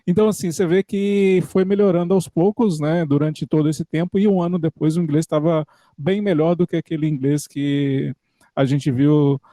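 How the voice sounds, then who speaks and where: A man's voice: a little high, fairly even intonation, normal speed, dark tone, dry recording. A man from Brazil hosting a podcast in a casual interview, chatty and informal. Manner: chatty, informal, uses "cara". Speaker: podcast host.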